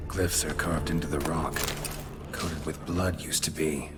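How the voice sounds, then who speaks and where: A gruff, world-weary monster hunter from a video game. His voice is exceptionally deep and gravelly, his lines short, dry and wary. Loud music plays behind him. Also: fairly even intonation, a little slow. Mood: grim, curious. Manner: terse, clipped, dry. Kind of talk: video game character acting.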